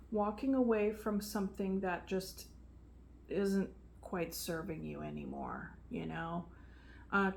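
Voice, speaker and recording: Slow, soft-spoken woman tarot reader. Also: medium pitch, creaky. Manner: relaxed, chatty, frequent fillers. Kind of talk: casual tarot reading to camera. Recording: faint background noise.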